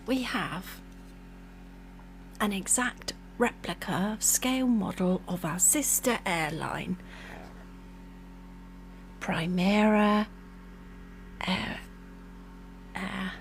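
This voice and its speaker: A woman doing an ASMR roleplay as a reassuring, attentive air hostess, speaking softly and soothingly, sometimes in a whisper. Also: mid-range voice, extremely slow, long pauses, a little hiss or hum. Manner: soft-spoken, soothing, partly whispered.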